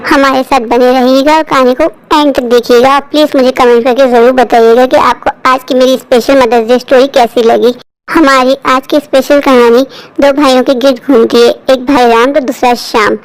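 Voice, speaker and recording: A woman's voice: high voice, steady tone, normal speed, smooth, rough recording. A woman storyteller giving a scripted introduction to a moral story for her audience. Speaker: storyteller, channel narrator.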